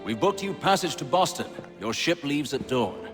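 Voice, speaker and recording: An actor playing a composed, courteous senior leader of a secret order in a video game, speaking formally and with measure. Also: male voice, fairly high pitch, sing-song, moderate pace, music in the background. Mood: measured, formal. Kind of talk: video game cutscene acting.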